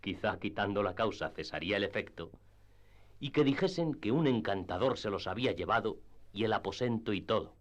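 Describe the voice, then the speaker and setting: A man narrating a classic novel for radio drama in a measured storytelling manner. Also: lowish voice, expressive, lo-fi sound.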